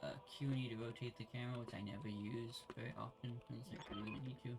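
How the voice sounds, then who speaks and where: A man's voice: mid-range voice, steady tone, fast. A man hosting a let's play, chatting casually with a co-host. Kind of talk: casual gameplay chat with a co-host.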